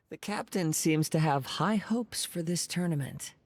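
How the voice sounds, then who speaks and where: Gentle poetic young samurai guy, soft-spoken and formal, game character. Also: on the high side, animated, slow, dry recording, heavily acted. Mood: calm advice. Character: calm poetic young wandering swordsman, gentle and thoughtful. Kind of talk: video game voice acting.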